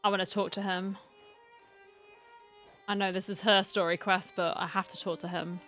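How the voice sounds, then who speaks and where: Female game streamer: bright, forward voice, playful chatty asides, casual reaction style, faint music behind. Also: medium pitch, flat delivery, normal speed.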